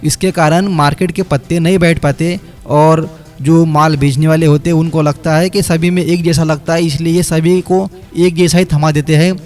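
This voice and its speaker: A man, a phone repair technician narrating a step-by-step repair tutorial over his work. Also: on the high side. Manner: explanatory, step by step, addresses viewers as friends. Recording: quiet background music under voice-over. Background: light background music.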